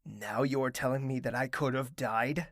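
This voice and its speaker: Guy doing a brooding prince, slow and curt, dry close sound. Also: mid-range voice, fairly even intonation, creaky, fairly bright tone, no echo. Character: brooding young male prince, guarded. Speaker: narrator and lead. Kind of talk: audio drama acting.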